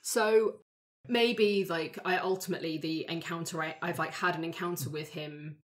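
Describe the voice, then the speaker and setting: A woman's voice: lowish voice, steady tone, normal speed. A woman talking casually as a player in a tabletop roleplaying game, working out her character. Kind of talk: casual collaborative character discussion.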